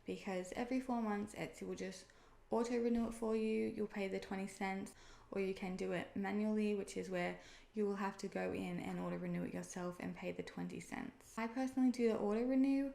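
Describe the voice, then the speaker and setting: Chatty Australian woman, gravelly edge. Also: mid-range voice, fairly even intonation, fairly quick, mellow. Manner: friendly, chatty, with frequent "um" and "personally". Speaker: online seller and video creator. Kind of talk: casual explainer vlog. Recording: echoey room with faint noise.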